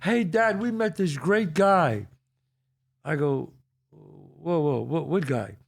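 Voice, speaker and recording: Man, older, New York accent, gravelly, lively storyteller. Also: fairly high pitch, slow pace. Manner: animated storyteller acting out quotes. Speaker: podcast host. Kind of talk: casual storytelling chat.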